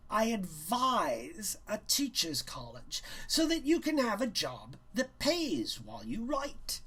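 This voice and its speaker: Man acting a pompous, high-pitched male schoolteacher. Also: fairly bright tone, breathy edge, full character voice. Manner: pompous, condescending, emphatic. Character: pompous, stern male schoolteacher and vain writer. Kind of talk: theatrical storybook reading.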